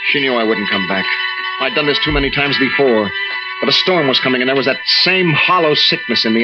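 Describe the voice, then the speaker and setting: An actor playing a tense, fearful lighthouse keeper and husband in an old-time radio drama, snappish and evasive. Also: male voice, medium pitch, close to an everyday voice. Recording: muffled old radio recording with music.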